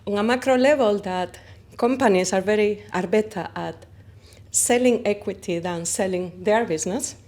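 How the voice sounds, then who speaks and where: A woman's voice: mid-range voice, moderate pace. A woman from Spain with a Spanish accent, a company founder talking expressively in a conference interview. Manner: animated, expressive, uses vivid comparisons.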